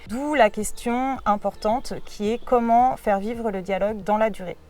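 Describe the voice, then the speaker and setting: A woman giving a prepared, measured testimonial as a programme coordinator. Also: a little high, darkish tone, far from the mic. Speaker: local water and agriculture programme coordinator.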